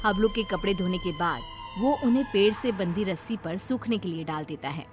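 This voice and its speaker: A woman's voice: mid-range voice, expressive, moderate pace, music in the background. A woman storyteller reading a scripted tale as narrator for a children's story.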